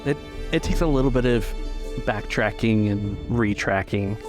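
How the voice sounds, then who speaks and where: A man narrating a tabletop role-playing game as game master, describing scenes haltingly in the present tense. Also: fairly low pitch, over music.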